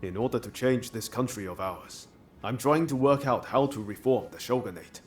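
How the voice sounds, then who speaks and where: An actor playing an easygoing, friendly young samurai reformer in a video game, casual and easily flustered. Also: male voice, medium pitch, moderate pace, soft background music, lightly acted. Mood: earnest. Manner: casual, friendly, hesitant when cornered. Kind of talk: video game cutscene acting.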